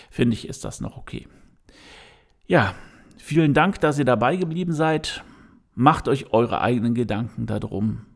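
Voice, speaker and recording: A man's voice: mid-range voice, vocal fry, darkish tone. A man hosting a podcast, giving his opinion unscripted and speaking straight to his listeners. He hesitates a lot, with many fillers and restarts, but stays earnest. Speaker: podcast host.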